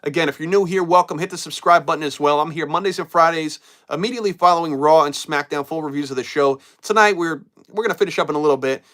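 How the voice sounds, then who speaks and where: A man hosting a wrestling live stream in a loose, rambling way, often repeating himself and trailing off. He is getting over a cold and losing his voice a little. His voice sits fairly high and sounds bright and forward. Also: fast talker, hardly any pauses. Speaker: wrestling show host. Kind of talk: live-stream chat, reading viewer comments.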